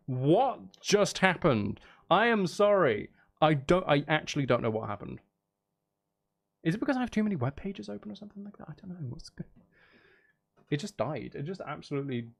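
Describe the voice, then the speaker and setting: Guy with a lightish, really lively voice, casual stream chat, keeps apologising and cutting himself off. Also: on the high side. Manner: apologetic, self-interrupting, thinking aloud. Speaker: live streamer and voice performer. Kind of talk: casual livestream chat while composing music.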